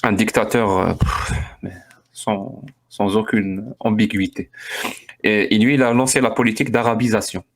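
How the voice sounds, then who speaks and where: A man in a casual live-stream debate, explaining things in a calm, measured way. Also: lowish voice. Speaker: debate participant.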